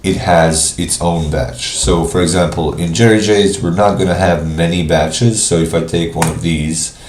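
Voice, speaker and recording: A man with a cold, sounding congested, casually walking viewers through software on a live screen share. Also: deep voice, steady tone, roomy sound, slight background noise. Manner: casual, thinking aloud with pauses. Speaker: software trainer.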